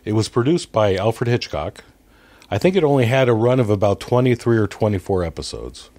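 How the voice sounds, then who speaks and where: A man with a fairly low voice speaks as a guest in a casual discussion. He explains things conversationally and somewhat haltingly, backing up to correct himself along the way. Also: lively intonation, darkish tone. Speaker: podcast guest. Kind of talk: casual conversational movie discussion, retelling a story.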